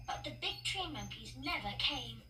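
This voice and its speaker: A woman narrating children's stories as a read-along, expressive, emphatic and playful. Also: sits high, normal speed, slight background noise. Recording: distant-sounding, loud music behind. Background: music.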